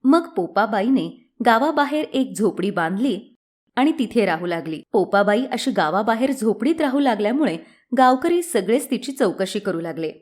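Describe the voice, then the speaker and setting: A woman's voice: fairly high pitch, lively intonation, normal speed, a little mellow. A woman narrating a scripted story as a storyteller.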